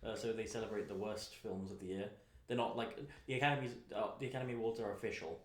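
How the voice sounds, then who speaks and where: A man's voice: lowish voice, steady tone, fast. Chatty man in an echoey room. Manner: chatty, laughs often, rambling restarts. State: slightly hoarse from tonsillitis. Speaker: podcast co-host. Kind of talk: casual chat.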